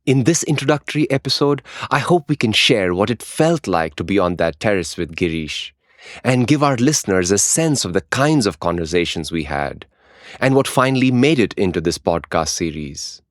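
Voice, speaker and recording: A man's voice: lowish voice, sing-song, normal speed, no room sound, clean studio sound. A man co-hosting a podcast, reading conversational narration. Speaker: podcast co-host.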